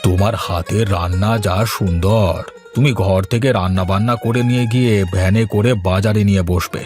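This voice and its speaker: Man voicing a deep-voiced, kindly older uncle. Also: a little fast, darkish tone, soft background music, natural voice. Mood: reassuring, urging. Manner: reassuring, firm, fatherly. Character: kindly, decisive older uncle, a grateful guest. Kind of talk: Bengali animated moral story acting.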